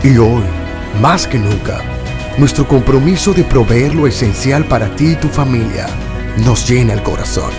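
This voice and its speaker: A man's voice: medium pitch, expressive, normal speed. A man narrating a scripted, sentimental commercial as an announcer. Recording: voice over clear music bed. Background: music.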